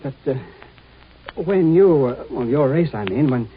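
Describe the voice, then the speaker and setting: An actor playing a shaken, apologetic professor with halting, stammering speech in a 1950s radio drama. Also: male voice, medium pitch, lively intonation, lightly acted. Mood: hesitant, resigned. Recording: tinny, faintly noisy 1950s radio recording.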